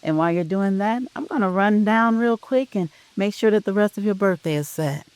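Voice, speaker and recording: A narrator voicing a loving middle-aged husband in an audio drama, soft, tender and reassuring. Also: medium pitch, fairly quick, clean tone, no room sound, voice pushed up, natural voice. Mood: boyish, excited.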